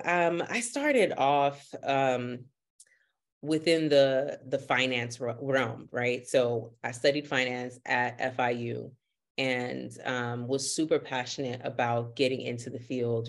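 A man's voice: mid-range voice, fairly even intonation, slow. A man from Miami, Florida, whose first language is Haitian Creole, speaking earnestly and upbeat as a podcast guest. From Miami, Florida, USA. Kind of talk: podcast interview.

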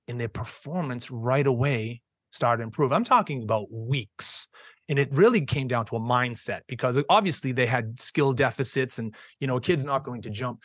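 Man, middle-aged, earnest, halting, mid pitch, dry recording. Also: a bit bright, husky, dry recording. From Boston, USA. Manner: earnest, halting, frequent restarts and ums. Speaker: public school teacher, podcast guest. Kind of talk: conversational storytelling interview.

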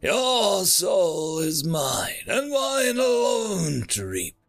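Cloaked soul reaper, tall and ominous male narrator acting; formal, deliberate, wry, with lifted pitch and gravelly edge, storybook style. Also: very animated, faint music behind, exaggerated voice. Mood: menacing.

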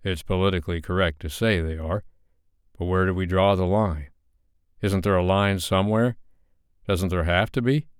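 A man voicing a blunt, stern, irritated man with a deep voice in an audiobook. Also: fairly even intonation, moderate pace, clear, resonant tone, no echo, studio quality, lightly acted. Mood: challenging.

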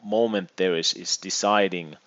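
A middle-aged man from Finland with a Finnish accent, giving thoughtful, measured answers as an interview guest. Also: low voice, fairly even intonation, a bit bright, no echo, lo-fi sound. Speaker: guest, EV consultant and educator.

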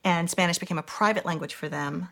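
A woman with a low voice speaks in a relaxed panel conversation. She talks about her writing thoughtfully, often pausing and reaching for the right word. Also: gravelly. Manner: thoughtful, searching for words with many fillers. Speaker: playwright.

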